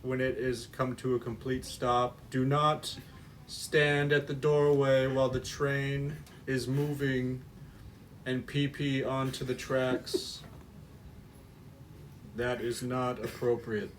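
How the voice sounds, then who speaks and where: A man voicing a stern male train announcer with a put-on accent, reading out rules in a slow, flat way. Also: medium pitch, slight background noise, natural voice.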